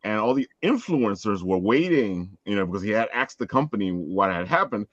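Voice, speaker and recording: A man's voice: fairly low pitch, lively intonation, fairly quick. A man hosting a casual live panel chat over an online call. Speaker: podcast host. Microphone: online video call. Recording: roomy echo, dull lo-fi sound.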